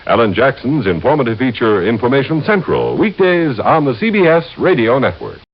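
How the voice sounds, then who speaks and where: A man's voice: mid-range voice, big pitch swings, brisk. An American man announcing formally in a polished mid-century radio style. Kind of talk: 1950s-60s radio announcing. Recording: muffled old broadcast with loud music.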